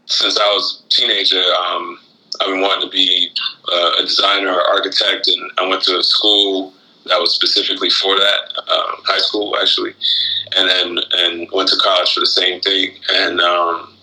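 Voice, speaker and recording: Bright-voiced, low-pitched man, halting delivery. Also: little pitch movement, rough recording. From Florida, United States, born in Guyana. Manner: halting, reflective. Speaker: interview guest, rapper and shoe designer. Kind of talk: casual interview chat.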